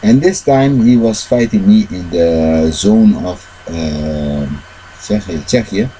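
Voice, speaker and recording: A man with a deep, dark voice tells a winding story at his own pace, halting often on fillers and loose phrasing. He keeps the tale going by slipping into the voices of the people in it. A faint hum sits behind him. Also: animated. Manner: retells events with shifts into quoted dialogue. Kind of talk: rambling personal storytelling.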